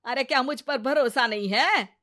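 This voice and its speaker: A woman's voice: high-pitched, moderate pace, fairly bright tone, pitched above the performer's own voice. A woman voicing an older, complaining mother-in-law who scolds and grumbles in a story. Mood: scolding.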